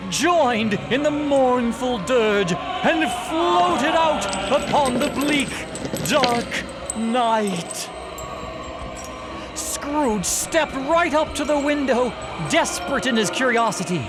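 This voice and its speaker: A man narrating a classic story for an audio drama in a deliberate, expressive, theatrical way. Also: high voice.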